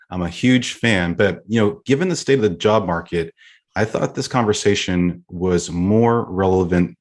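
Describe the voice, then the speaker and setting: A man hosting a business interview show, speaking in a conversational way. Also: sits low. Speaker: podcast host.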